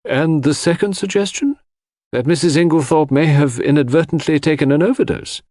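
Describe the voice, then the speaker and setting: A man voicing a brisk, formal coroner who questions witnesses with official courtesy in an audiobook. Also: a little high, warm tone, no room sound, studio quality, pitched above the performer's own voice, natural voice. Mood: probing, deliberate. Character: brisk, formal, courteous male coroner presiding over inquest.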